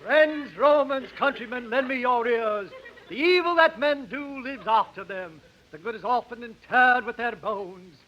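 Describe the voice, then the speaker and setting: A grand Roman orator in a 1950s radio parody. He declaims in a high, bright voice with measured phrasing, on a muffled old recording. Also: full character voice. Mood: solemn, declamatory. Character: grand Roman orator delivering a funeral speech.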